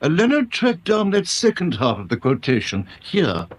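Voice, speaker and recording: A man's voice: fairly high pitch, huge pitch swings, normal speed, slightly rough, exaggerated voice. An actor playing an eager, obliging local newspaper man in a radio drama, informal and a little hesitant. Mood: eager, helpful.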